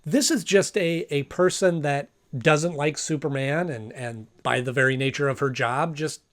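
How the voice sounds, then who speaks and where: A man's voice: fairly high pitch, normal speed. A man hosting a casual review, commenting in a conversational, thinking-aloud way with emphasis. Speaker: host, cartoon reviewer.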